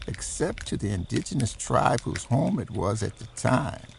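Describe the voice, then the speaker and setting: Man, very gravelly creaky voice, scripted dark-history narration, clearly noisy recording. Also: medium pitch. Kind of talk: scripted documentary-style narration of a horror story.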